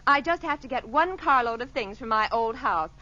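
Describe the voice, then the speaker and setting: Bright, high-voiced young mother. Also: animated, moderate pace. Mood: politely asking. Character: sweet, chatty, polite young mother and new neighbor. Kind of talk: 1940s radio sitcom acting. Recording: muffled, noisy radio broadcast with audience.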